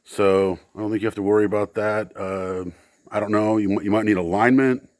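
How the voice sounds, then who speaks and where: A man with a fairly low voice walks through used equipment he is selling, casual and unscripted. He thinks aloud, often halting and unsure mid-sentence. The sound is very dry, with no room echo. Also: fairly even intonation. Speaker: seller demonstrating used equipment.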